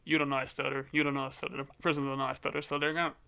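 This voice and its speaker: Young man, stutters, clear bright tone, relaxed storyteller for a podcast chat. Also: mid-range voice, rapid-fire. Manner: stutters, repeats words. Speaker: podcast guest.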